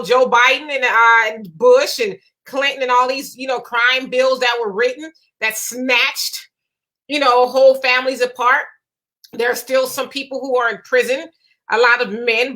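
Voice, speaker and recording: A woman in her forties co-hosting a casual live discussion, chatty and animated, driving points home with a rhetorical "right?". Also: sits high. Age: 40s. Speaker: co-host.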